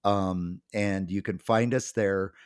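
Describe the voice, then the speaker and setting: Male podcast host, low voice, nursing a cold, casual and rambling. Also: slow, clear, resonant tone. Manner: relaxed, rambling, many ums. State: has a cold, voice may crack. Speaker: pastor and author.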